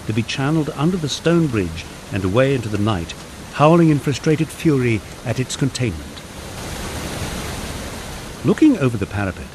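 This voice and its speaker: A man narrating a first-person memoir from a script, with a husky voice and a wry storytelling manner. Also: medium pitch, a little mellow, a little hiss or hum. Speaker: audiobook narrator.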